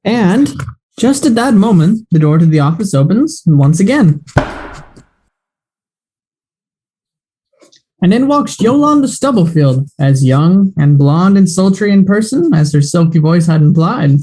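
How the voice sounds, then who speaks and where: A mellow, dark-toned radio announcer voiced by an actor narrates the serial with melodramatic flair. He builds cliffhanger questions and signs off in the old broadcast manner. The pitch sits in a middle register. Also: expressive, normal speed, rough recording. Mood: telling. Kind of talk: scripted narration.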